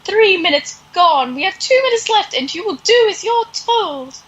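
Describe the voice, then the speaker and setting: A woman's voice: very high-pitched, expressive, moderate pace, fairly bright tone, muffled recording, pitched above the performer's own voice. A woman voicing a haughty, commanding young witch villainess in a mocking story retelling. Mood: haughty, threatening.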